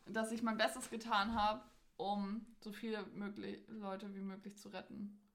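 A young adult woman co-hosting a podcast in casual, expressive and giggly conversation. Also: mid-range voice, measured pace. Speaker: podcast co-host.

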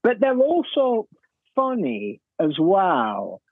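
A man with a slightly high voice speaks as a guest on a music podcast, eager and enthusiastic, often tripping over repeated words. He comes through a thin, phone-like remote line that sounds dry. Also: wildly swooping pitch, takes it slowly, no echo. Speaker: author and music journalist guest. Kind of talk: casual music chat.

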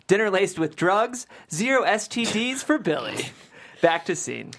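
A woman's voice: mid-range voice, very animated, measured pace. A woman reading stage directions from a comedy script as scripted narration. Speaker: stage-direction reader at a script table read.

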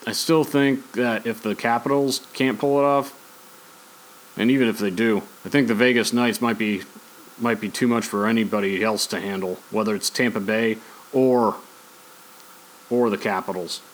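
A man's voice: lowish voice, fairly even intonation, moderate pace, low-quality recording. A man hosting a podcast, talking casually in a rambling, opinionated, conversational way. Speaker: podcast host. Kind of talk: casual opinionated podcast talk on sports and movies.

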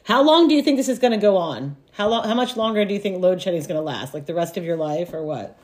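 An American woman hosting a casual podcast. Her voice is slightly low but swings widely in pitch, and she reacts with exclamations and enthusiasm. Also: lively intonation, quick pace, darkish tone. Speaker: podcast host. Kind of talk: casual chat.

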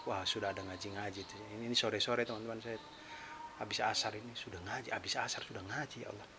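A man's voice: on the low side, fairly even intonation, fast talker. Chatty eastern Indonesian man, faint hiss. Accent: mixed eastern Indonesian. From East Nusa Tenggara, Indonesia. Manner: chatty, self-deprecating, laughs often. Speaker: podcast host, stand-up comedian. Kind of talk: casual solo podcast monologue.

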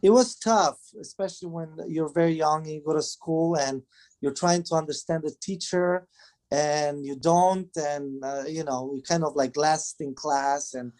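A man's voice: a little high, fairly even intonation, moderate pace, a little mellow. A man in his forties from Romania, speaking English with a Romanian accent as a second language, answering interview questions hesitantly with many filler sounds. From Transylvania, Romania. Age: 40s. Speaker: guest, band manager and father. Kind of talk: casual interview chat.

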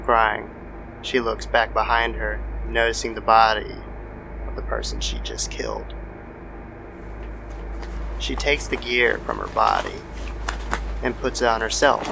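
A man's voice: mid-range voice, vocal fry. A man narrating a scripted horror story as an old-time style radio drama. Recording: noisy background ambience.

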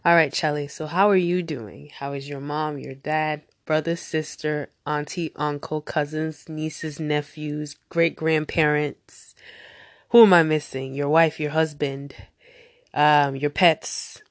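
Woman, low voice, stuffy nasal cold, chatty rambling podcast host, dry close sound. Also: fairly even intonation, slow, no echo. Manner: chatty, rambling, goes off on tangents. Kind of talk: casual solo podcast chat.

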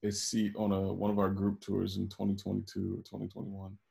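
A man from the United States co-hosting a casual chat, animated and teasing, quick to laugh. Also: on the low side, gravelly. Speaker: podcast co-host.

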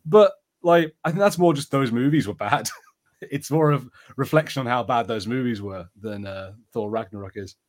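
A man's voice: mid-range voice, moderate pace. A man co-hosting a podcast, chatting casually about films with an enthusiastic, conversational way of telling things. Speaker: podcast co-host. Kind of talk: casual review chat.

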